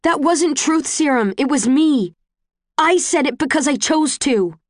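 A woman narrator plays a defiant, guilt-ridden young woman in an audiobook. She is sarcastic and confrontational, her pitch pushed up high, with emphatic stresses in a dry recording. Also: lively intonation, measured pace, no room sound. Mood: insistent.

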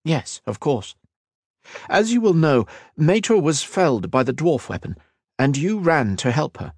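A man voicing a gentle, calm elf woman in a fantasy audiobook, speaking softly in formal, deliberate phrases. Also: fairly high pitch, dry recording, clean studio sound, voice pushed up, exaggerated voice. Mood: calm explaining. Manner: soft-spoken, deliberate, formal.

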